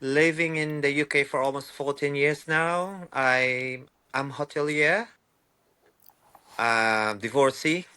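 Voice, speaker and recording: A man from the Philippines introducing himself on a video call in slow, halting phrases. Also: mid-range voice, sharp tone, clean tone. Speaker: podcast guest. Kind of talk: casual chat.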